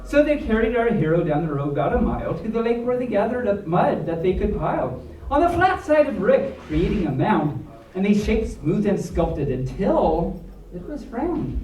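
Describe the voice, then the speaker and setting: Animated high-voiced man reading stories, roomy sound. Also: normal speed. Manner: playful, theatrical. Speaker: storyteller reading to a live audience at a convention. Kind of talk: animated storybook reading with playful asides to audience. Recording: roomy, distant mic, faint background noise.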